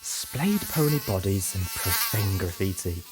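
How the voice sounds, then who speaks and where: A refined storybook mare read by a man speaks with dry sarcasm. The delivery is wry and ladylike, at a modest pitch. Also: expressive, a little slow, noisy background. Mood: sarcastic.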